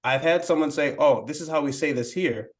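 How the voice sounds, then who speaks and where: A young man talking casually as a podcast guest, softly and hesitantly, with many fillers and restarts. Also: fairly high pitch, a little fast. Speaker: podcast guest, language learning creator. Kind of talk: casual interview conversation.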